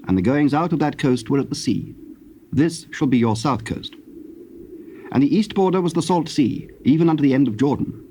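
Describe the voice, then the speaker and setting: Measured British male scripture narrator, noisy tape. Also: medium pitch, darkish tone. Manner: measured, formal. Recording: noisy old cassette tape recording.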